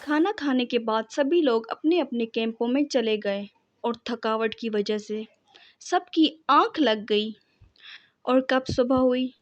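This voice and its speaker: A woman's voice: on the high side, slow pace, no echo, dull audio. A woman reading a scripted children's story as its narrator.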